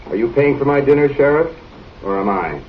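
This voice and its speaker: An actor playing a stern, suspicious frontier sheriff who gives curt, gruff orders, heard on muffled old film audio. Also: male voice, medium pitch, lively intonation, a little fast, recorded from a distance, a little hiss or hum, natural voice.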